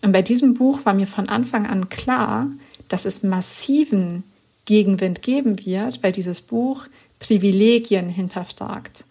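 A woman's voice: medium pitch, a little slow, creaky, warm tone, slightly rough. A woman author being interviewed on a podcast, explaining her views in an earnest, emphatic way. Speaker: author guest.

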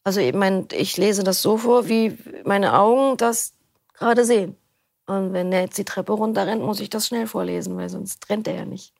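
A middle-aged woman being interviewed about narrating an audiobook, talking in a relaxed, rambling way. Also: mid-range voice, normal speed, creaky. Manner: relaxed, rambling, laughs easily. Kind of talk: casual interview chat.

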